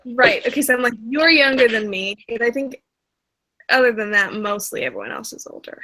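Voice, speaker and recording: A young woman in her early twenties hosting a casual video call, chatty and quick to laugh. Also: a little high, pushed and bright, poor audio. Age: young adult. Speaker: livestream host. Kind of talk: casual group video chat. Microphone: computer mic on a video call.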